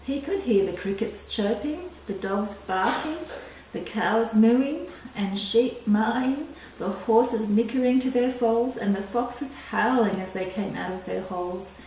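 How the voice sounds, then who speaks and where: An older woman reading a picture book aloud to children, deliberate and drawn-out, with little asides. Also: a little high, darkish tone. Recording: echoey room, distant mic, faint noise.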